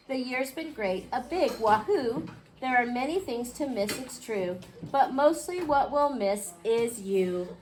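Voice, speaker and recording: A woman reading a picture book aloud to a class, expressively, pausing to question her young listeners. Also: on the high side, a little mellow. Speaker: children's storybook reader. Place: indoor school classroom. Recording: clearly noisy classroom recording.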